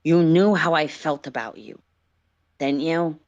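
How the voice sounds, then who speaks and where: A woman voicing a bitter, wounded male alien who interrogates with sharp, accusing demands in a story reading. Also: low-pitched, unhurried, no echo, lo-fi sound. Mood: hurt, accusing.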